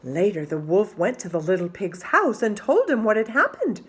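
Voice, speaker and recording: A woman reading a children's story aloud as an expressive narrator. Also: mid-range voice, normal speed, slightly rough.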